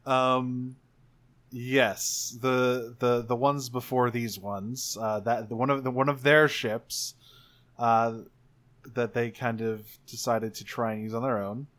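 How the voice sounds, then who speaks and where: A man's voice: mid-range voice, flat delivery, takes it slowly, fairly bright tone. Man casually leading a tabletop game. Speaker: player and game leader in an actual play podcast. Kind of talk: casual tabletop roleplay chat.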